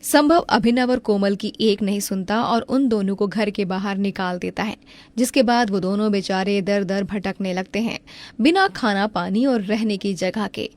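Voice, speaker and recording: A woman's voice: mid-range voice, normal speed, darkish tone. A woman reading scripted narration for a cartoon story.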